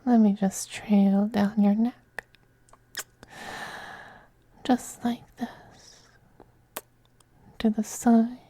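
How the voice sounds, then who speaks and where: Possessive femboy vampire noble played by an actor, ASMR intimacy: very mellow, slow, breathy whispers, tender yet domineering. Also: medium pitch, flat delivery. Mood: seductive, teasing. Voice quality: breathy, soft, often whispering.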